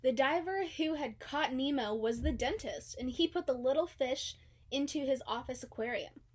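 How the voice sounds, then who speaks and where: A woman with a fairly high voice reads a children's story aloud. Her delivery is scripted storybook narration. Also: moderate pace, a little mellow. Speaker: storybook reader.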